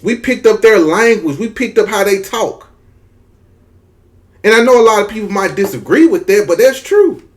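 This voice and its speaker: Man, Mississippi Southern drawl, slightly higher voice, emphatic, repeats himself, casual commentary. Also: animated, normal speed. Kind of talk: casual opinion commentary to camera.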